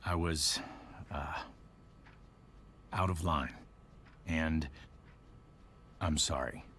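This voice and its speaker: A man playing an earnest, contrite middle-aged father figure in a video game, speaking in a halting, hesitant way. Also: extremely low pitch, a bit bright, a little hiss or hum, full character voice. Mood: awkwardly contrite. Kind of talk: naturalistic video game voice acting.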